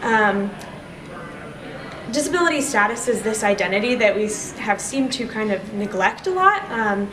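A young woman speaks casually and haltingly in an interview. She sounds set back from the mic in an echoing indoor space, with clear background noise. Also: mid-range voice, lively intonation, recorded from a distance. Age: young adult. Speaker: student interviewee. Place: indoor room, standing before a camera.

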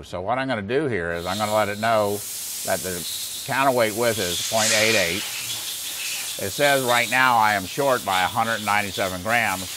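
A man in his sixties with an American accent and a lowish voice walks through a technical procedure step by step. His manner is plain-spoken and a little folksy, like an instructor at a demonstration. There is faint workshop noise behind him. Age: 60s. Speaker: instructor demonstrating engine balancing equipment. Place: large indoor machine shop. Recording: clear background noise, workshop ambience.

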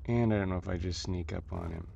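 A man's voice: sits low, fast talker, warm tone, dull audio. A man giving casual, improvised gameplay commentary, muttering playfully to himself. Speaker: gameplay host. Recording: clear background noise.

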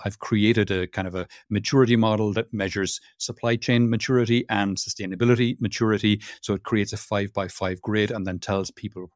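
Man, Irish accent, lowish voice, dry close sound, chatty interview guest. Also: no room sound. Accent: Irish, Cork. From Ireland. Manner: chatty, self-deprecating, repeats words when restarting. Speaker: podcast guest, sustainability consultant. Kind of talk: casual interview talk.

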